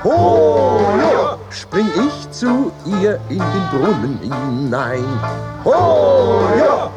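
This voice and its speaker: A man's voice: high voice, very animated, drawn-out words, loud music behind the voice, exaggerated voice. An actor playing an earthy, stubborn wine farmer in a radio play, declaiming and savouring his words. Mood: exuberant singing. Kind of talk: theatrical radio play acting with some singing.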